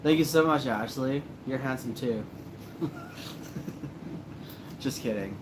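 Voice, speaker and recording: A man hosting a casual livestream, relaxed and joking as he reads comments after a few drinks. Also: mid-range voice, takes it slowly, noisy background. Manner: relaxed, joking, a little tipsy. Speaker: host of a paranormal channel livestream.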